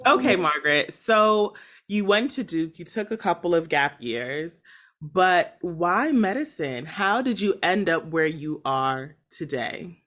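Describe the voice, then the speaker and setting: A young woman hosts a podcast in a slightly low voice. She is upbeat and chatty and laughs easily with her guest. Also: animated, a little slow, a bit bright. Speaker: podcast host, junior doctor. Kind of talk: podcast hosting.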